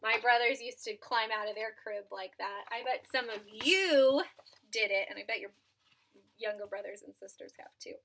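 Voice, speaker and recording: A woman's voice: on the high side, normal speed, gravelly, a bit bright. A woman teacher reading a children's storybook aloud expressively, with asides spoken directly to young listeners.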